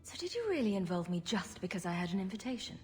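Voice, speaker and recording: Game rogue, female, played by a woman: sarcastic, flippant, mid-pitched, faint music behind. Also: big pitch swings, fast talker, darkish tone, natural voice. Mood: skeptical, wry. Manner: wry, flippant. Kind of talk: video game voice acting.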